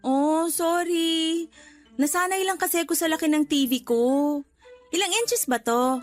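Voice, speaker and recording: High, breezy Filipina neighbor. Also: female voice, unhurried. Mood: friendly, breezy. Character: chirpy, well-off, casually condescending Filipina neighbor. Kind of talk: radio drama acting. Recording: light background music under dialogue.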